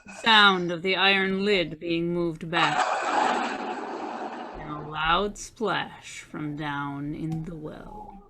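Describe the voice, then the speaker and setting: A woman narrating and announcing an old-time radio drama in a measured, scripted delivery. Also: sits low, steady tone, heavy background noise.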